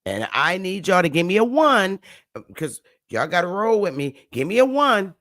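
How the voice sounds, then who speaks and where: A woman's voice: on the low side, sing-song, normal speed, fairly bright tone, no echo, clean studio sound. A woman with a Northern US accent hosting a livestream, lecturing her viewers emphatically, repeating her points and calling on the chat to answer back. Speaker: livestream host.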